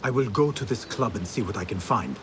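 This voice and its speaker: An actor playing a resolute, terse young assassin in a dramatic video game. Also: male voice, on the low side, expressive, fairly quick, background noise, natural voice. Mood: serious, intent.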